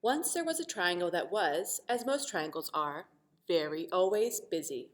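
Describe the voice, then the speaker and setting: A woman teacher reading a storybook expressively to children, pausing to explain simply and ask questions. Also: lowish voice. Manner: animated, asks the listeners questions.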